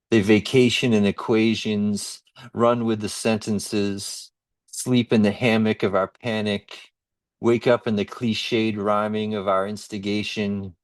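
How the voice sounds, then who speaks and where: A man reciting his poem at an open mic in a deliberate, measured way. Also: fairly low pitch. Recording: roomy echo, distant microphone.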